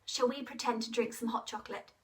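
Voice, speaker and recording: A woman telling a story to children in an expressive, interactive way, asking questions and encouraging them to act along. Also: on the high side, quick pace, distant mic.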